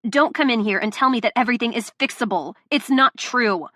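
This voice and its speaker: A woman performing a young woman who is furious and near tears, ranting in a shrill voice pushed high and loud, as audiobook dialogue. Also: moderate pace, dry recording, clean studio sound.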